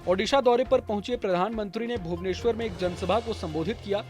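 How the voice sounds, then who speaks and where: A man's voice: high-pitched, fairly quick, darkish tone. A man reading a scripted news voice-over as a narrator. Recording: music bed under voice. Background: prominent background music.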